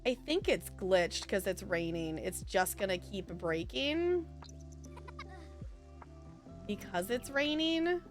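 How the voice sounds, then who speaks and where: A woman's voice: medium pitch, animated, takes it slowly, pauses often, soft background music. A woman streaming a life simulation game with casual, rambling commentary, chatting to viewers and to the game's characters.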